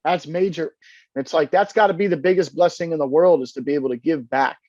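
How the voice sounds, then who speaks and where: A man's voice: on the high side, fast, darkish tone, dry recording. Man interviewer, dull dry lo-fi sound. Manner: affirming, frequent "yeah" backchannels. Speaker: interviewer, producer. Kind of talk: casual interview chat.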